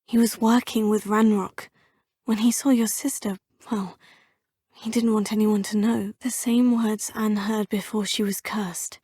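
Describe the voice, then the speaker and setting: A woman's voice: medium pitch, little pitch movement, unhurried, warm tone, slightly rough, no room sound. An actress voicing a polite, composed young female student witch with a British accent, speaking game dialogue close to a studio mic. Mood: earnest. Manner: polite, proper and well-spoken. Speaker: player character, student. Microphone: close studio mic, dry.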